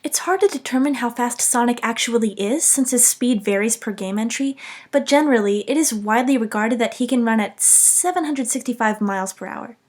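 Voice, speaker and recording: A woman's voice: fairly high pitch, fairly quick. A young woman narrating a scripted, humorous video game commentary in a self-deprecating, mock-dramatic way.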